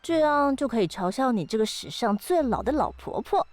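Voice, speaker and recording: A woman's voice: on the high side, animated, brisk, darkish tone, dry recording, close to an everyday voice. A woman playing a mysterious ancient being of the lake in an audio drama, casual and teasing with a wistful side. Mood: playful teasing. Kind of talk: audio drama dialogue acting. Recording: studio voice over background music.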